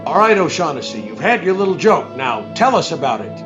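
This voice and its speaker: Man playing a lively hard-boiled detective, slightly dull audio. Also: a little high, a little fast, over music. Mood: brisk, wry. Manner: clipped, wry, commanding. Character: hard-boiled, shrewd male private detective. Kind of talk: old time radio drama acting.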